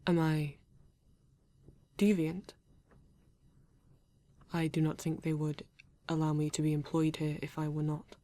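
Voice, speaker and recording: A woman with a British accent playing a formal, reserved male android detective in an ASMR roleplay, speaking stiffly and deliberately with halting pauses. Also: low voice, level tone, dark tone, no echo. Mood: guarded, halting. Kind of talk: ASMR roleplay addressed to the listener.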